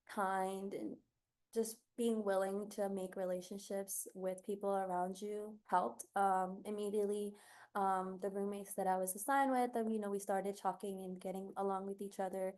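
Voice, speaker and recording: A woman from New York City sharing her experience as a graduate student panelist, earnest and steady, with many fillers. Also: mid-range voice. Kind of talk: panel answer on a video call. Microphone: video call.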